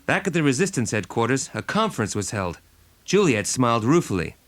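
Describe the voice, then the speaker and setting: A man's voice: medium pitch, sing-song, brisk, a bit bright, no echo. A man giving scripted, dramatic narration as the storyteller of an audio storybook. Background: music.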